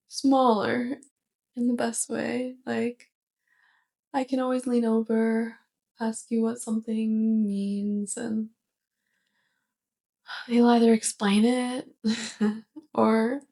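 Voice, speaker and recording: A woman playing a sweet, curious, awkward alien roommate with a crush, speaking gently and haltingly in a soft ASMR roleplay. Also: fairly high pitch, creaky, exaggerated voice. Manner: gentle, hesitant, halting delivery.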